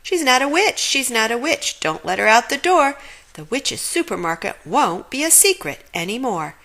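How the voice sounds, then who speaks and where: Suspicious storybook witch, voiced by a woman: angry, accusing chant, raised pitch, sharp edge. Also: big pitch swings, moderate pace, full character voice.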